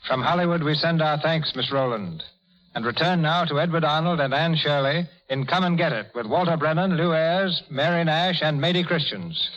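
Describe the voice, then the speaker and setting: A man's voice: fairly high pitch, normal speed, fairly bright tone. A man announcing and narrating an old radio drama in a scripted, formal vintage style. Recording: muffled vintage radio, music behind.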